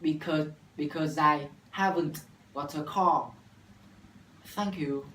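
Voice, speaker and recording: A man's voice: fairly high pitch, takes it slowly, a bit bright. Young Vietnamese man reciting a practice dialogue. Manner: careful, recited phrasing with restarts. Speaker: university student. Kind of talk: scripted classroom dialogue practice. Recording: echoey room from far off, faint noise.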